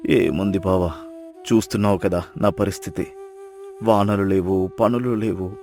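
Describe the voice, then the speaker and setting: A man voicing a poor, kind and self-sacrificing village husband in a moral story, earnest and gentle. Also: sits low, fairly even intonation, measured pace, soft background music. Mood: resigned, hopeful. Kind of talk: storybook dialogue acting.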